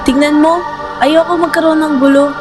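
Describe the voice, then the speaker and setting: A woman's voice: high-pitched, flat delivery, normal speed, over music, lightly acted. A woman playing a troubled, defensive schoolgirl in an amateur radio drama. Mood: pleading. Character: troubled schoolgirl Sheila, snappy and defensive with family.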